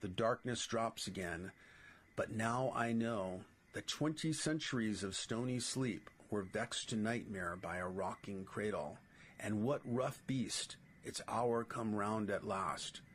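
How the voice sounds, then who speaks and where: A man's voice: lowish voice, takes it slowly, fairly bright tone, slight background noise. A middle-aged man with a New York accent reading his own political essays aloud as a podcast host. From New York City.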